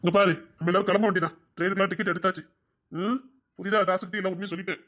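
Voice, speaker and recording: A performer playing a possessive but tender young man in an audio romance drama, speaking softly and coaxingly. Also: mid-range voice, steady tone, racing, close to an everyday voice. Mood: pleading, insistent. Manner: soft, hushed, coaxing.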